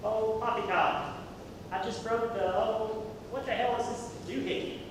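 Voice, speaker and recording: A man's voice: fairly even intonation, unhurried. A man playing an exasperated, sarcastic character in a community theatre comedy. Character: exasperated, sarcastic man hunting for a lost thingamabob. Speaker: amateur stage actor. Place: theatre stage, distant microphone. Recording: noisy echoey hall from far off, rumble.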